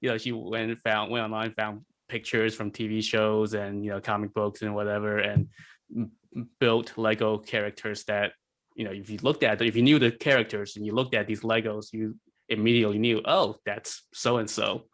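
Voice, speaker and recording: A man's voice: fairly low pitch, level tone, moderate pace, fairly bright tone. A man speaking as a podcast guest, explaining things casually and often rephrasing as he goes.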